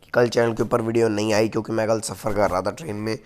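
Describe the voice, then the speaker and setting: A hoarse man in casual vlog commentary, talking close to the mic and chatty. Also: fairly low pitch, flat delivery, fast talker. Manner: chatty, says "bhai" often. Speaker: wrestling news channel host.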